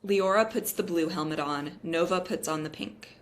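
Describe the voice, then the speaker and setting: A woman's voice: lowish voice, moderate pace, slight background noise. A woman reading the scene directions of a script as narrator at a table read.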